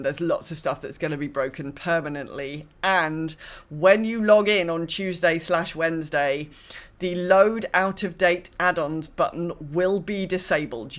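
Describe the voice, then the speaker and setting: A woman co-hosting a podcast, casually talking through news items. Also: deep voice. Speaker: podcast co-host.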